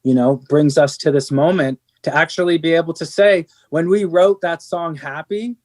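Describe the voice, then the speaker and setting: A man's voice: a little high, normal speed. A middle-aged man, a band's singer, talking earnestly in an interview, rambling with many restarts and fillers. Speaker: band singer. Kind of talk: candid interview conversation.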